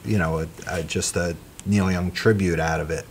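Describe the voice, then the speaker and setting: A middle-aged man with a gruff voice, a musician guest chatting easily on a small studio set, with plenty of ums and uhs. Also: low voice, fairly even intonation. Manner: easygoing, halting with fillers. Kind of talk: casual chat.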